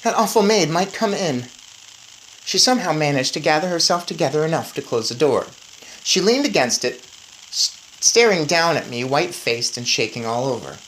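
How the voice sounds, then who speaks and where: Low-voiced, animated woman reading a story. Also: fairly quick, a little hiss or hum, low-quality recording. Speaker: story reader. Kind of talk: expressive children's audiobook-style read-aloud.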